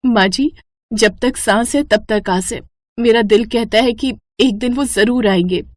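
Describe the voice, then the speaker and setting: A woman voicing a gentle, caring and faithful wife in a cartoon moral story. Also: a little high, measured pace, no room sound, studio quality, natural voice. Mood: hopeful. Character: gentle, faithful waiting wife and sister-in-law.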